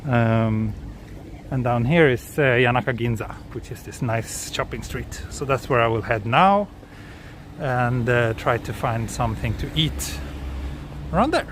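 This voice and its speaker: A man from Sweden, speaking English as a second language, hosts a relaxed live walking stream outdoors and chats with viewers as he goes. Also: medium pitch, unhurried, background noise. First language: Swedish. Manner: relaxed, answers viewer chat while walking. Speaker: vlogger walking through a city. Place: outdoors on city streets.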